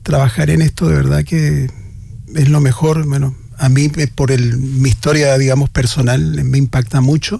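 A man's voice: medium pitch, flat delivery, moderate pace, creaky, warm tone, no echo. A Chilean man speaking as a guest agronomist on a radio interview, hesitant and full of fillers. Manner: hesitant, with many "eh" and "digamos" fillers and restarts.

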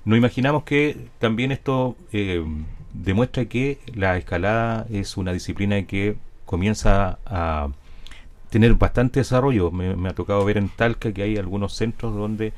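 A man's voice: lowish voice, normal speed. A man hosting a local program, asking guests chatty, teasing questions. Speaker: local TV host. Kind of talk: interviewing guests.

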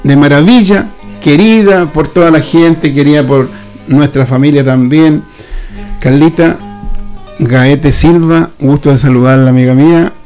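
A man's voice: a little high, measured pace, a little mellow, faint music behind. A man with a Chilean accent hosting a casual interview in a folksy, familiar, colloquial way. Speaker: radio host.

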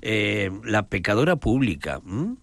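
Rambling priest with a lively voice. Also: male voice, on the low side, a little slow, creaky, dry recording. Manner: rambling, hesitant, searches for names. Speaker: priest guest. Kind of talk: casual religious talk on a show.

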